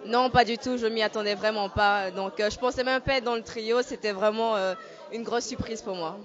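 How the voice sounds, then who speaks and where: A woman who was a pageant runner-up, answering calmly with short sentences and some fillers. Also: on the high side, moderate pace, some chatter behind. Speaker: former pageant runner-up, guest. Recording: muffled lo-fi event audio.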